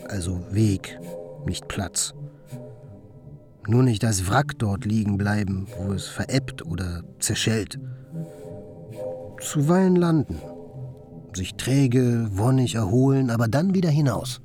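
An actor in a radio play playing an effusive, restless, romantic writer who speaks in a lyrical, declamatory way. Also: male voice, fairly low pitch, expressive, slow, pauses often, music in the background. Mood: rhapsodic. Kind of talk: German radio play acting.

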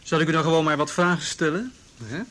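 An actor playing a careful juvenile police detective in a measured, reassuring, naturalistic radio drama manner. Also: male voice, fairly high pitch, fairly even intonation, bright, forceful tone. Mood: calm, reassuring.

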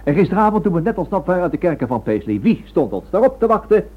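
A man playing an indignant, stubborn and excitable writer pressing accusations in a radio drama. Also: high voice, fast. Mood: insistent. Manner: urgent, indignant, insistent. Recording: tinny, band-limited old radio recording.

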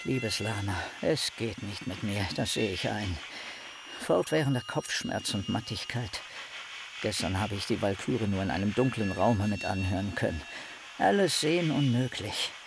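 An actor playing a sickly, sardonic scholar in a German radio drama, speaking in an ornate, pompous, theatrical way. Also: male voice, fairly low pitch, animated, moderate pace, creaky, background noise. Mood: weary, despairing. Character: sickly, sardonic male scholar complaining with grandiose eloquence.

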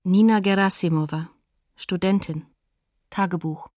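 Lowish-voiced, factual woman announcer. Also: fairly even intonation, a little mellow, no room sound. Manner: factual, measured. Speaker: announcer of sources in radio play. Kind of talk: scripted documentary narration.

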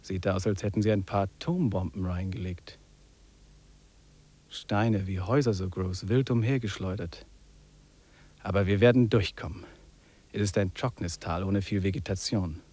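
Musing English wanderer. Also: male voice, sits low, moderate pace, long pauses, darkish tone. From England. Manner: musing, drops in English phrases. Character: English man trekking through Lapland, wry and contemplative. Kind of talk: 1950s German radio drama acting, reflective monologue and dialogue.

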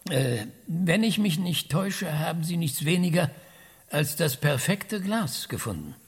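An ironic scientist in a radio drama, with a very husky voice full of creak. He muses and hesitates, then needles with dry sarcasm, his pitch moving freely. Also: big pitch swings, a little slow, dry recording, exaggerated voice. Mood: mocking, challenging. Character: cranky, ironic maverick male scientist, passionate about research.

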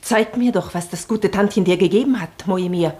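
A woman's voice: mid-range voice, moderate pace, mellow, natural voice. An actress playing a poor, pushy country widow in a German radio play, coaxing and plaintive as a boastful mother. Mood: proud, pushy.

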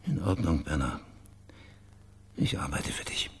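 An actor playing a bitter, sardonic private detective in a German radio crime drama, pressing for answers. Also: male voice, very deep voice, sing-song, measured pace, vocal fry, husky, full character voice. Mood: subdued, bitter. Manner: sardonic, confrontational. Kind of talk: German radio play acting.

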